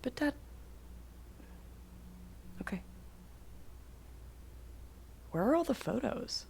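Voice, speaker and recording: A woman's voice: on the high side, sing-song, extremely slow, vocal fry, a little mellow, a little hiss or hum, exaggerated voice. An actress playing a frustrated, defiant working woman and daughter in a stage drama, with an intense, emphatic manner. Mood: tentative. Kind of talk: stage play acting.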